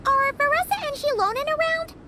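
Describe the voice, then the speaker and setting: A woman voicing a tiny, chatty, cheerful childlike fairy companion in a video game, squeaky and blunt. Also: brisk. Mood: cheerful, curious. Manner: perky, exclamatory, refers to self in third person. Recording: game music behind the voice.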